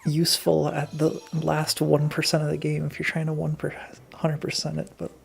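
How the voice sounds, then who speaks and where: A man with a heavily creaky, slightly high voice co-commentates a video game. His talk is casual, slangy and joking, with faint music behind it. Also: monotone, moderate pace. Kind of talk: casual chat over a game.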